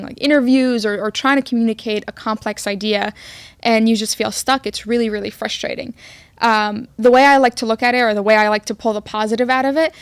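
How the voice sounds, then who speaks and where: Young woman, from Egypt, Arabic first language, fairly high voice, relaxed casual chat, pauses often, dry studio sound. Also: smooth, dry recording. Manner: relaxed, with long thinking pauses and fillers. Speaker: podcast co-host.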